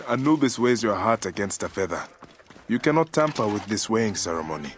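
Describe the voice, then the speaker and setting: A man's voice: fairly low pitch, a little hiss or hum. An actor playing a protective, intense warrior father in a video game. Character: warrior father, protective, playful with child, fierce toward foes. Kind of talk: dramatic video game acting.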